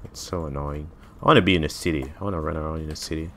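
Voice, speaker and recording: A man's voice: a bass voice, fairly even intonation, very fast, slight background noise. A man in his twenties, a native French speaker with a French accent, giving casual, blunt gameplay commentary and swearing freely. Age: 20s. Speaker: gamer.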